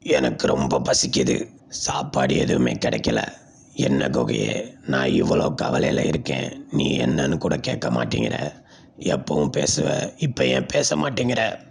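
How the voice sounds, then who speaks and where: A woman voicing a hungry, scheming but foolish lion in a children's storybook, with a gravelly growl and a blustering, complaining manner. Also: rumbling low, animated, a little slow, a little hiss or hum, pitched below the performer's own voice, full character voice.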